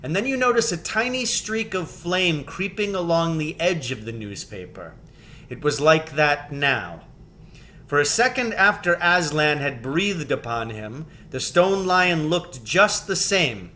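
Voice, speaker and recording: A man reading a children's novel aloud as scripted narration, with measured, expressive phrasing. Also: on the high side, a little hiss or hum. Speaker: storybook reader.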